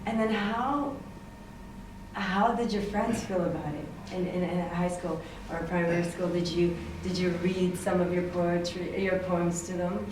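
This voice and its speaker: A woman's voice: on the low side, fairly even intonation, measured pace. A woman hosting an interview show, friendly and guiding the talk with questions. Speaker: show host. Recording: echoey room, mic far off, noisy.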